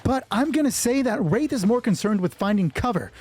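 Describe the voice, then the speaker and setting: A man improvising a solo tabletop roleplay as game master, excited, thinking aloud and swearing freely. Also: sits high, fairly quick, breathy edge. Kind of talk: improvised tabletop game narration with dice talk.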